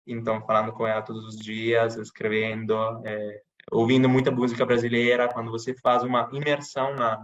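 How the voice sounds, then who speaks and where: A man from Italy speaking with an Italian accent, chatting casually in a podcast interview with many pauses and restarts. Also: mid-range voice, a bit bright, lo-fi sound. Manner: hesitant, many restarts and fillers. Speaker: interviewee, channel host.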